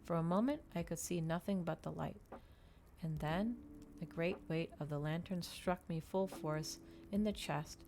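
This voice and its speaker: A woman reading a novel aloud in a dramatic ASMR storytelling style, with measured, expressive delivery. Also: on the low side, noisy background.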